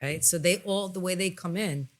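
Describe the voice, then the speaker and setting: A woman's voice: on the low side, normal speed. Candid, emphatic woman podcast host. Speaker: podcast host and psychic medium. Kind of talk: candid conversational podcast talk.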